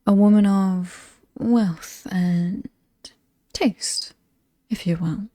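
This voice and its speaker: Woman as mysterious British demon hostess for horror ASMR: mellow, very close and dry, breathy whispers, playful menace. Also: mid-range voice, no room sound. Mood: playful, alluring. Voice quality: breathy, often dropping to a whisper. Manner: slow, teasing, coolly amused and aristocratic. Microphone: close, dry microphone.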